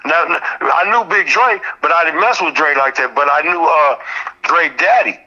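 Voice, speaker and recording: A man's voice: fairly high pitch, normal speed, bright, forceful tone, lo-fi sound. An American man recalling events in a casual interview, emphatic and repetitive, with plenty of slang. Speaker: podcast guest.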